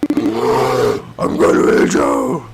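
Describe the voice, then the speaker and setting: A man voicing a huge, self-pitying, whiny male beast from a visual novel, creaky and whimpering, with melodramatic bitterness. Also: mid-range voice, flat delivery, a crowd in the background, full character voice. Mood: bitter outburst. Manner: slow, sulky, melodramatic outbursts. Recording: loud guitar music behind.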